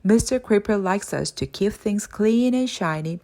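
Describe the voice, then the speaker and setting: A woman's voice: mid-range voice, lively intonation, a little slow, darkish tone. Woman voicing a plucky, colloquial workhouse kitchen helper. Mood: conspiratorial.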